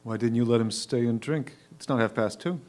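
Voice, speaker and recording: Guy playing an older waiter, patient, a bit lonely, medium pitch, stage reading. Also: brisk, darkish tone, lightly acted. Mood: reflective. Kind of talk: staged reader's theatre reading.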